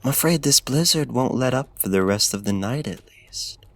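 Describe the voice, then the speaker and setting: A man playing a suave, predatory ancient vampire in an intimate ASMR roleplay, with formal archaic phrasing, deliberate pauses and breathy drops toward a whisper. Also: mid-range voice, lively intonation. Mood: quietly menacing.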